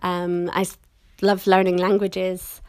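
A woman's voice: lowish voice, little pitch movement, slow, clean tone, no room sound, studio quality. A middle-aged woman, an English teacher from Scotland, speaking standard British English with slight Scottish traces in a chatty, enthusiastic monologue. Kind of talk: casual recorded monologue.

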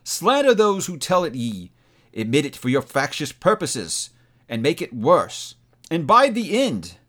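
A man's voice: on the high side, wildly swooping pitch, a little slow, a bit bright, pitched above the performer's own voice, full character voice. Storybook spirit, stern and accusing, raised declamatory voice , male reader performing. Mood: scornful, angry. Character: stern, scornful male Christmas spirit rebuking a miser. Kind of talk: dramatic storybook reading.

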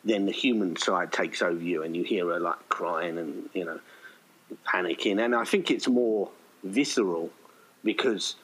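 A man's voice: low-pitched, moderate pace, a bit bright, husky, rough recording. A man chatting casually in an interview, rambling and hesitant as he thinks aloud. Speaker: writer-director of an audio play being interviewed.

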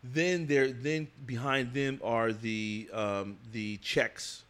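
A man's voice: medium pitch, fairly even intonation, takes it slowly, a bit bright, clean tone. A man guesting on a casual podcast, talking in long, rambling turns. He is blunt about his subject, restarts and repeats words as he thinks, and laughs now and then mid-story. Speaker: interview guest.

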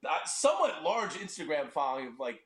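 A man's voice: on the high side, roomy sound, a little hiss or hum. A man in his forties, a podcast guest talking casually and animatedly, joking and swearing about business and being a dad. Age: 40s. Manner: animated, swearing, quick to joke. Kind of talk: casual interview chat.

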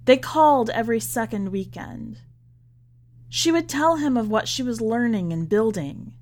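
A woman reading literary fiction aloud as scripted narration, with measured, deliberate phrasing. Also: fairly high pitch, animated, a little mellow. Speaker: podcast host reading a short story.

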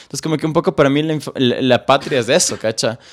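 A man's voice: mid-range voice, fast talker. A young man from Ecuador with a Quito accent, a guest in a conversational interview, reasoning aloud analytically with frequent hesitant fillers. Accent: Quito Ecuadorian Spanish. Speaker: guest, economist.

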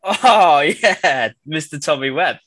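A man's voice: on the high side, expressive, takes it slowly, fairly bright tone, no echo, clean studio sound. A man hosting a casual podcast, upbeat and jokey, sounding a bit nasal and congested from a cold. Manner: upbeat, jokey, laughs often. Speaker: podcast host. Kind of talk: casual chat.

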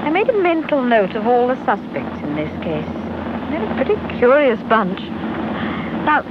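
Inquisitive well-bred wife, RP English, wry, dull radio recording, clear engine noise behind. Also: female voice, fairly high pitch, very animated, moderate pace. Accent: English Received Pronunciation. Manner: wry, questioning. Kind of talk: British radio drama acting. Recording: dull vintage radio, noisy vehicle ambience.

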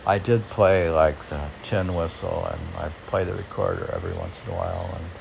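A man's voice: low voice, lively intonation, brisk, vocal fry, background noise. A man reading lines of poetry and commenting on them in a casual, rambling, hesitant way, as if thinking aloud. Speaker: poet reading and musing on his own poems.

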